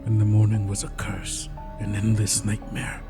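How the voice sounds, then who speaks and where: A man voicing a grim, defiant adventurer haunted by past horrors in a fantasy game, firm and measured. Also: lowish voice, monotone, over music, lightly acted. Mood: grim refusal.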